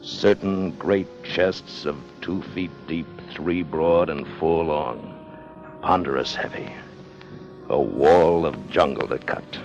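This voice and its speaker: An actor in a 1950s radio drama playing a hard, greedy ship's officer, commanding, with old-fashioned seafaring speech. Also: male voice, extremely low pitch, takes it slowly, highs cut off, heavily acted. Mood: brooding, scornful. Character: hard, scheming, greedy sailing-ship officer leading a treasure hunt. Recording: 1950 radio broadcast with music underneath.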